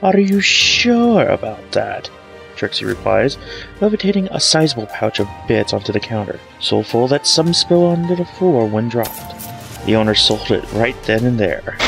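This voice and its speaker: Creaky-voiced man narrating a dramatic story. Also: normal speed. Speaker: fan fiction reader, narrator. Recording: low-grade home microphone, music bed. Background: soft dramatic background music.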